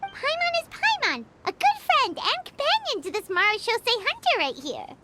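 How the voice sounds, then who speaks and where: A woman voicing a tiny, excitable fairy companion in a video game, squeaky and childlike. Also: moderate pace, noisy background, exaggerated voice. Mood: perky, excitable. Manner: refers to self in third person, exclamatory.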